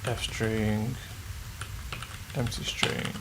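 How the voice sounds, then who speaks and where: A man's voice: mid-range voice, little pitch movement, very slow, vocal fry. A man chatting casually on a live stream while coding a game, muttering his code aloud. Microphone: desk streaming mic. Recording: faint background noise, keyboard typing.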